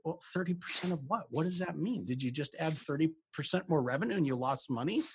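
A male podcast host with a slightly higher voice and a husky, breathy edge. He talks in a loose, animated conversational way and laughs easily. The delivery is relaxed and unscripted. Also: fast.

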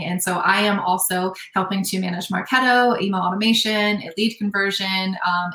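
A woman's voice: medium pitch, bright, forceful tone, roomy sound. A young adult woman talking as a podcast guest, explaining her work thoughtfully with frequent fillers. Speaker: podcast guest, sales operations analyst. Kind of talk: casual interview talk.

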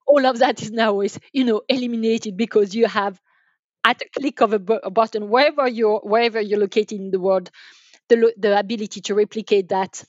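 A woman's voice: mid-range voice, fairly even intonation, a little fast, no room sound. Woman guest, slightly muffled dry sound. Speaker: guest, enterprise sales manager. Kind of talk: interview talk, explaining from experience.

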